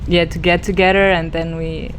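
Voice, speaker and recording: A woman's voice: on the low side, flat delivery, normal speed, a little hiss or hum, low-quality recording. A young woman in her twenties from Switzerland, a dance student answering interview questions briefly. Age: 20s.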